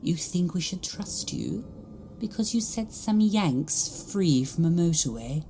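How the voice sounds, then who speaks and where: A woman's voice: lowish voice, very animated, measured pace, warm tone, slight background noise, poor audio. A woman playing a stern, hostile cat nun in an audio drama, haughty and commanding. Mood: scornful.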